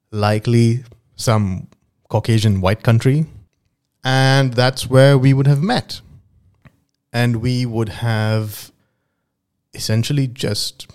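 A man's voice: mid-range voice, darkish tone. Rambling young man imagining aloud. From Dubai. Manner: meandering, speculative storytelling. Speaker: podcast host. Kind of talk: casual podcast monologue.

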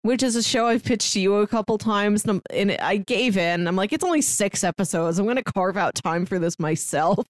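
A woman's voice: mid-range voice, moderate pace, hardly any pauses, no room sound, clean studio sound. A woman podcast co-host chatting casually about films and shows in a wry, talkative way.

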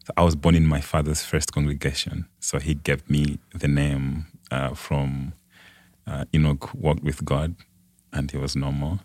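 Very deep-voiced Malawian man. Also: little pitch movement, unhurried, a little mellow, dry recording. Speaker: podcast guest. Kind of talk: conversational personal storytelling.

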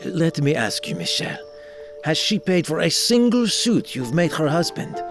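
A man's voice: on the high side, big pitch swings, unhurried, music in the background. An actor playing a blunt, scornful, gossipy male friend in an audio drama. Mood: insistent, blunt.

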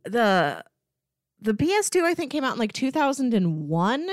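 A woman co-hosting a podcast, chatting casually and telling stories in an animated way. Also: on the high side, normal speed, no room sound, studio quality. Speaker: podcast co-host.

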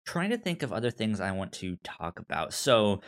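A man hosting a podcast, chatting casually about a TV series in a halting way, often repeating the starts of words. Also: on the low side, very animated. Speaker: podcast host. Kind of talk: casual chat discussing a TV series.

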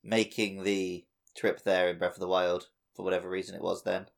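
A man's voice: low-pitched, flat delivery, a little fast, creaky. A man co-hosting a casual gaming podcast, joking and conversational. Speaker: podcast co-host. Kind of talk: casual chat about a video game.

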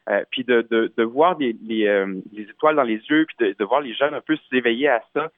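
A man's voice: medium pitch, a little fast, no echo, phone-quality sound. A man with a Québécois accent giving a casual interview as a guest, often restarting his sentences. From Quebec, Canada. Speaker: singer in a vocal group, interview guest.

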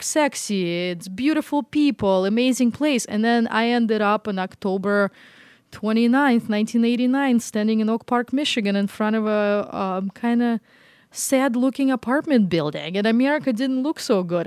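A woman's voice: on the high side, moderate pace, clear, resonant tone, no room sound, studio quality. A middle-aged woman from Russia, chatting in an interview and acting out quoted reactions as she tells stories. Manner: animated storytelling, acts out quoted reactions. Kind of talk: casual interview chat.

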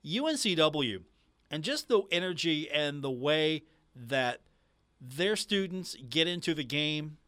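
A man's voice: a little high, expressive, slow pace, sharp tone, dry recording. A man hosting sports radio, talking casually and emphatically to listeners on a close studio mic. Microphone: close studio microphone.

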